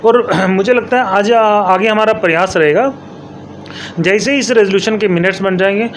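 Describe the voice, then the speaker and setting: High-pitched man explaining as a local politician. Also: moderate pace, slight background noise. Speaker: local politician, elected councillor being interviewed. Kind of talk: interview answers to a reporter.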